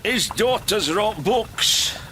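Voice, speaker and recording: A man's voice: sits high, expressive, takes it slowly, pushed and bright, slight background noise, exaggerated voice. An actor playing an inquisitive, plain-spoken Yorkshire local man in a naturalistic radio drama, blunt and colloquial. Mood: nosy, curious.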